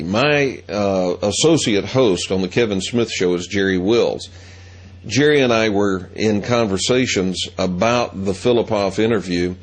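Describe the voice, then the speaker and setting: Male host, middle-aged, lowish voice, deliberate storyteller. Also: slightly rough. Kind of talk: monologue.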